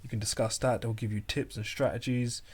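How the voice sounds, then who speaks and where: A man co-hosting a casual chat, speaking in a calm, easygoing way with frequent ums. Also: medium pitch, fairly quick, husky. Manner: calm, relaxed, hesitant with fillers. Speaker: podcast co-host.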